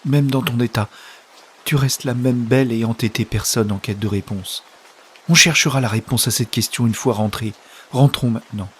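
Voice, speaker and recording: An actor playing a devoted, desperate male companion in a science-fiction audio drama, imploring with urgent emotion in a husky voice. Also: medium pitch, normal speed, a bit bright, a little hiss or hum, lightly acted. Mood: concerned, coaxing.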